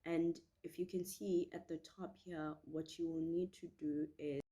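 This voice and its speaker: Woman doing a chill how-to, low voice thats a bit hoarse, soft mellow tone, reassuring. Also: little pitch movement, measured pace. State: slightly hoarse from a cold. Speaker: hairstyle tutorial host. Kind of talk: casual step-by-step tutorial chat.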